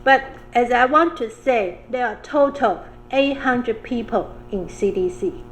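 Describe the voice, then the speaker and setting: A woman public health official speaks animatedly, slipping in laughing asides. Her voice sits on the higher side. Faint background noise hangs behind her. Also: measured pace, rough recording. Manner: animated, laughing asides, non-native phrasing. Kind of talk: roundtable panel discussion.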